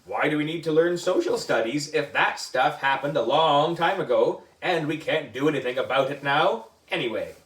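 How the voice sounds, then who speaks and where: A man's voice: a little high, expressive, moderate pace, few pauses, fairly bright tone. A man reading a children's story aloud in a theatrical way, with exaggerated emphasis. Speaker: book reader for a children's book club. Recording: echoey room, distant mic.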